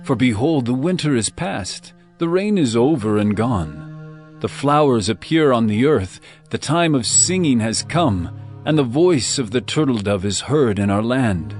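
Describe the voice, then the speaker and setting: An actor playing an ardent, adoring royal bridegroom in a dramatized scripture reading, with poetic, romantic delivery. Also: male voice, medium pitch, expressive, unhurried, a little mellow, soft background music, natural voice. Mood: ardent, coaxing.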